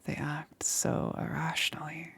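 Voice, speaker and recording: A woman playing a soft-spoken, coaxing, quietly menacing witch in an ASMR roleplay, often dropping into a breathy, hesitant whisper. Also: sits low, monotone, exaggerated voice. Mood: sly, wry. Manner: slow, hesitant, softly cooing.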